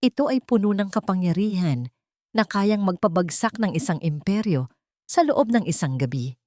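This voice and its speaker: A woman's voice: medium pitch, lively intonation, normal speed, warm tone, no echo, clean studio sound, close to an everyday voice. A woman narrator voicing a loyal male lawyer, grave and assured, speaking formally and emphatically in a drama story.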